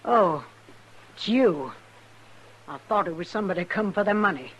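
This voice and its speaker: A woman's voice: mid-range voice, animated, normal speed, a little hiss or hum, lightly acted, low-quality recording. An American actress playing a bitter, vengeful frontier woman in an old radio western, curt and taunting. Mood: cold, hostile. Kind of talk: old-time radio drama acting.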